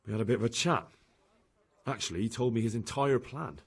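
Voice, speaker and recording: A man's voice: medium pitch, expressive, fairly quick, husky. An actor playing an earnest young man in a video game cutscene, a captive turned messenger. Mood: earnest, grave.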